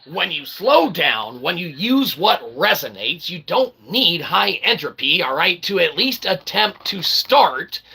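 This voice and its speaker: A man with a gravelly, fairly high-pitched and very sharp voice delivers a loose, rambling stream lecture. He is brash and irreverent, pokes fun at himself, and keeps punctuating his points with little check-in words. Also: sing-song, measured pace, few pauses, rough recording. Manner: brash, irreverent, sweary, self-deprecating; repeatedly tags phrases with "okay" and "all right". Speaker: independent streamer explaining ideas. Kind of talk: informal rambling explainer livestream.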